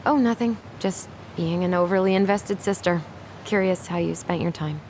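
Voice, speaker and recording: A woman's voice: fairly low pitch, normal speed, background noise, close to an everyday voice. A woman playing a caring, wry young sister in naturalistic, conversational video game dialogue. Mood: hesitant. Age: young adult. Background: game music.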